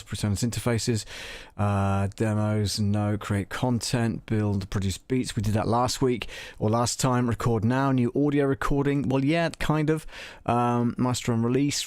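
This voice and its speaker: A man's voice: medium pitch, a little mellow, no room sound. Man thinking aloud in a dry-sounding tutorial. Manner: halting, thinking aloud as he clicks through menus. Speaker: host of an audio production live stream. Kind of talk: casual live software tutorial.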